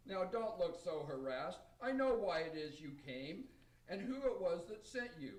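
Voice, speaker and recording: A man's voice: high-pitched, very animated, normal speed, far from the mic. Kindly, earnest Father Christmas figure, played by a man, reciting radio-play verse in a roomy echo, off mic. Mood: forgiving. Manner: declaiming rhymed verse, earnest. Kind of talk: amateur radio play acting.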